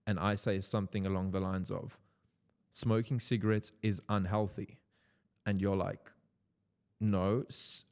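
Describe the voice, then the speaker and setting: A man's voice: fairly low pitch, darkish tone. A young man from South Africa with a South African accent, an Afrikaans speaker talking in English in a loose, unscripted vlog full of "like" fillers. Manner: loose and rambling, many "like" fillers. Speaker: content creator. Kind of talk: unscripted casual vlog talk.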